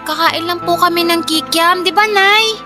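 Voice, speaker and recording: Little girl, Filipino accent, very high pitch, polite, innocent, radio drama, music behind. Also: normal speed. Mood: puzzled, pleading. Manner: polite, childlike, pleading. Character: innocent, affectionate little girl, worried about her parents.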